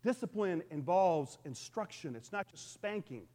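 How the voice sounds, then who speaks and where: A middle-aged man with a booming voice, preaching a conversational sermon to his congregation. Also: a little slow. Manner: lively, conversational preaching with call-and-response. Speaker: pastor.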